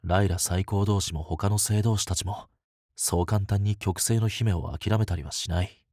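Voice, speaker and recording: A man's voice: low-pitched, fairly even intonation, normal speed, darkish tone, no echo, studio quality. A man playing a protective seer and mentor in a fantasy drama, sober and blunt in manner. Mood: firm, reassuring. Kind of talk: dramatic scripted voice acting.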